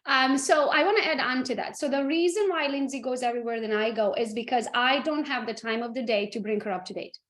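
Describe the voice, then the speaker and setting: A woman with a fairly high voice leads a training session, speaking in a roomy, echoing space. She keeps checking in with her audience by asking them to confirm each point. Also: brisk, hardly any pauses, a bit bright. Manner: checks in with the audience using tag questions. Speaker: host and real estate team leader. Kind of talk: live training talk. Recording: roomy echo.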